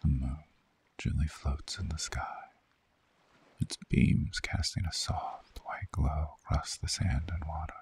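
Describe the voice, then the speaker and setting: A man playing a seductive, soothing vampire lover in an ASMR sleep roleplay, speaking in a hushed, coaxing, breathy whisper. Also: a bass voice, fairly even intonation, slow pace, exaggerated voice. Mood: soothing, hypnotic.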